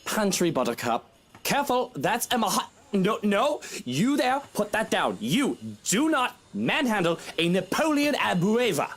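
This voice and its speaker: A man's voice: high-pitched, sing-song, measured pace, fairly bright tone, full character voice. An actor playing a pompous, fussy rich husband in a visual novel game, dramatic and snobbish as he orders people around. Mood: agitated, fussy.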